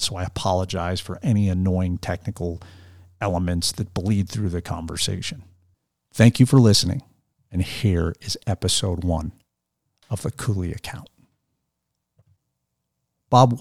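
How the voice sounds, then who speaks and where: Male podcast host, low voice, measured read, dry close sound. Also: a little mellow, dry recording. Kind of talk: scripted introduction and interview questions.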